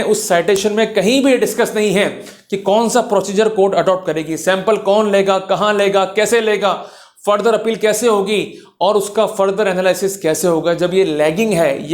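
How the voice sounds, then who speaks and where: A man giving a legal lecture, emphatic and exhorting, with English legal terms mixed in. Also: high voice.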